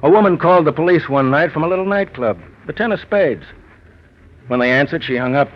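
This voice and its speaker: An actor playing a world-weary police detective, dry and clipped, in 1940s radio noir style. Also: male voice, a little high, animated, a little fast, highs cut off. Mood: dry, knowing. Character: world-weary, sardonic male Chicago police detective sergeant. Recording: band-limited 1940s radio with music.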